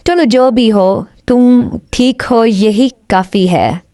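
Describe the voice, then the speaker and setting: Woman as a friendly young student, very slow casual speech, dry close sound, audio drama. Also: fairly high pitch, warm tone, no room sound. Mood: concerned. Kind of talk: audio drama roleplay dialogue.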